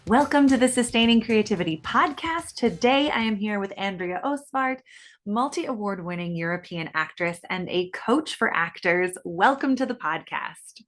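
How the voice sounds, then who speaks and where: A woman's voice: mid-range voice, lively intonation, moderate pace, faint music behind. A woman hosting a creativity podcast, upbeat and encouraging as she introduces and questions her guest. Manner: upbeat and encouraging, laughs easily. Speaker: podcast host.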